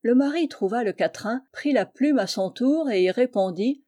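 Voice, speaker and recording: Mellow-voiced woman narrating a historical tale. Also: on the high side, lively intonation, moderate pace. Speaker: audiobook reader.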